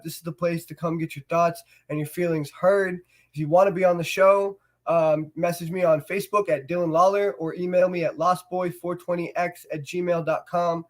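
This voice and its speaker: A man with a cold and a stuffy voice, hosting a casual show and talking in a relaxed way with many fillers. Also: sits high. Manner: relaxed, filler-heavy, warm encouragement. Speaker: host.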